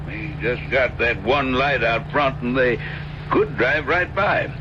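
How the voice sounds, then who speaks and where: An actor playing a plain-spoken, worried innkeeper with a terse, folksy manner in an old-time radio mystery. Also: male voice, medium pitch, slow, natural voice. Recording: muffled radio playback over truck noise.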